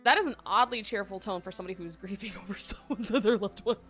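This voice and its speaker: A woman's voice: mid-range voice, fast, a bit bright. A woman gaming streamer giving casual, playful and exclamatory reaction commentary. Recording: game music behind the voice.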